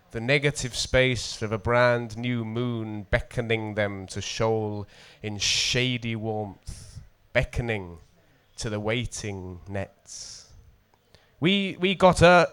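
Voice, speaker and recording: Male poet, North Welsh accent, smooth clear tone, unhurried and deliberate, for live readings with spoken introductions. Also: medium pitch, fairly bright tone. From coastal North Wales. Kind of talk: poetry reading with spoken introductions at a literary festival.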